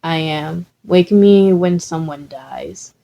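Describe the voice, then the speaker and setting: A woman voicing a solemn, drowsy teenage boy in a story reading, murmuring in a deadpan way. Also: takes it slowly, dull audio. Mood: drowsy, flat. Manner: deadpan, low-key, murmuring.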